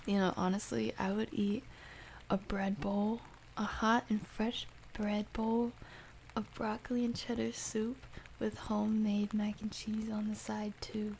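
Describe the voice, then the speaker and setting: A soft-spoken woman hosting an ASMR livestream, chatting casually and gently, often dropping toward a whisper. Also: medium pitch, level tone, unhurried, vocal fry, a little hiss or hum, rough recording. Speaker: livestream host. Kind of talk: ASMR livestream, casual chat reading and answering viewer comments.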